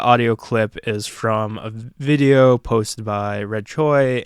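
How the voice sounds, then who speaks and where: Male podcast host, unhurried and earnest, smooth voice, dry close recording. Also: mid-range voice, fairly bright tone, no echo. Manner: measured, earnest, reflective. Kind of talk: podcast commentary.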